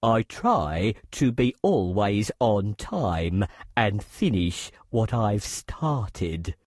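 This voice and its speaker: A wise, steady tortoise, speaks in a very deep voice. Every word comes slow and deliberate. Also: female voice, slightly rough, no room sound, exaggerated voice. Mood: calm.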